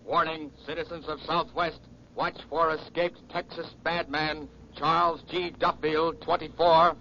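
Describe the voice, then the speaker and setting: A man's voice: fairly high pitch, little pitch movement, unhurried. A man as a crime bulletin announcer in 1940s radio style, urgent, clipped and telegraphic. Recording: noisy muffled vintage radio broadcast.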